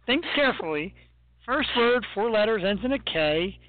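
A man co-hosting a radio talk show, bantering and teasing his co-host in a joking, animated way. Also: sits high, normal speed, no echo. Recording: dry, phone-like narrow sound.

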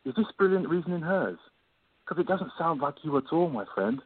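Radio play role: man as young, sarcastic best friend, husky, lively swings in delivery, dry phone-like recording. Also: fairly high pitch, fairly quick, dry recording. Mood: exasperated. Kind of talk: amateur radio play acting. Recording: muffled, thin phone-line audio.

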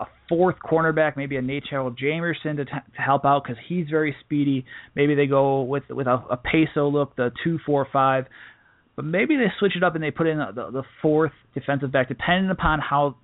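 Male sports podcaster on phone-like audio. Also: mid-range voice. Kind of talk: casual solo game preview commentary.

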